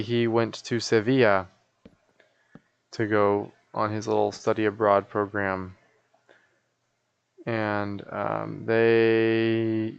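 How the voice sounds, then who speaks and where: Sleepy, low-pitched man with creaky voice. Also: highs cut off. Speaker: live streamer. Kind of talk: casual chat while streaming a game.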